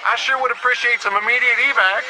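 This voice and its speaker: An actor playing a nervous, deferential military worker in a video game, with folksy wording. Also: male voice, extremely high pitch, steady tone, quick pace, loud music behind the voice, low-quality recording. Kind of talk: video game unit acting.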